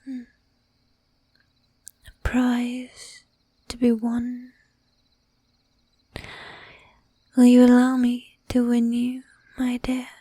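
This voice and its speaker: Woman playing a breathy, slow-whispering seductive vampire lady. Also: on the high side, mellow, dry recording. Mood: seductive, adoring. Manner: slow, teasing, commanding, with archaic polite phrasing. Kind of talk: whispered ASMR roleplay.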